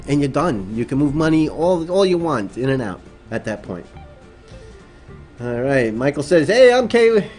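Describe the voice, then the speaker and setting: A man hosts a late-night livestream, speaking at an everyday middle pitch into a desk microphone. He rambles through his points with plenty of ums and uhs and stops to answer viewers' comments. Quiet music plays faintly behind him. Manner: rambling, many fillers, reads chat aloud. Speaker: livestream host.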